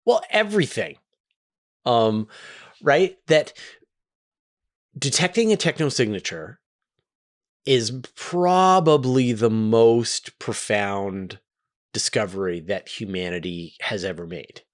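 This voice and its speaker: A man hosting a question-and-answer show, explaining things conversationally in an animated way with rhetorical questions. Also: lowish voice, slow, pauses often. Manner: animated, rhetorical questions, acts out imagined quotes. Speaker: host of a space Q&A show.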